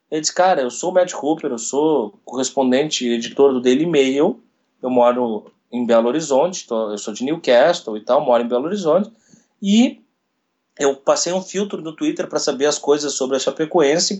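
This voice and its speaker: A man, a radio sports journalist telling a story in an interview, retelling events quickly with quoted dialogue. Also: fairly high pitch, a little mellow. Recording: dull lo-fi recording.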